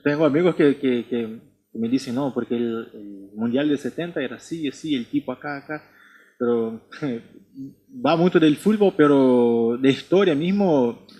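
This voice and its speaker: A man from Brazil, native Portuguese speaker, co-hosting a casual podcast chat, talking haltingly with frequent restarts and repeated words. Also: medium pitch, mellow, breathy edge. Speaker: podcast co-host, missionary.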